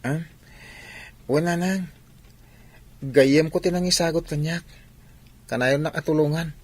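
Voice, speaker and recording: A man's voice: a little high, a little slow, a little mellow. A man playing an earnest, modest young village athlete in an Ilocano radio drama. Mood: plain, explaining. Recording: noisy background ambience.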